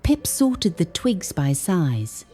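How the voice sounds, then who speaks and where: Casting: female children's storybook narrator, gentle and expressive, warm dark tone, slow pace, very animated melody. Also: lowish voice, slightly rough, soft background music. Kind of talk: scripted read-aloud children's story narration.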